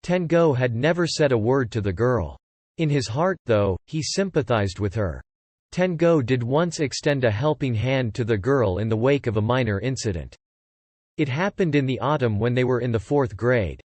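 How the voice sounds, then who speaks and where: A man narrating a scripted audiobook story in a measured storytelling manner. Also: mid-range voice, animated, a little mellow, no echo.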